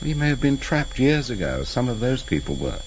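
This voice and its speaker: An actor playing a grave, gentle family friend in a British radio drama, sober and measured. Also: male voice, mid-range voice, big pitch swings, close to an everyday voice. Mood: grave, reasoning. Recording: hissy vintage radio recording.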